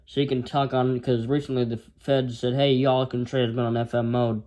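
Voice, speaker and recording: An American man talks through a gadget in a casual, unscripted way. His delivery is halting and rambling, with an informal tone and a mid-range pitch. He sounds like an amateur reviewer demonstrating something as he goes. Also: level tone, warm tone. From United States.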